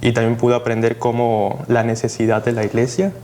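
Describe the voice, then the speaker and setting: A young man from Mexico speaking as a student guest on a podcast, his voice at an ordinary pitch for a man. He tells his story in a relaxed way with many pauses and fillers, over a faint hiss of background noise. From Mexico, Jalisco. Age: young adult.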